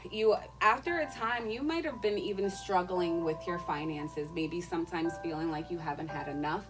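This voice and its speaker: A woman giving a casual tarot reading in an informal, halting, reassuring way. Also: lowish voice, poor audio. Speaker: tarot card reader. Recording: loud music behind the voice. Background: loud music.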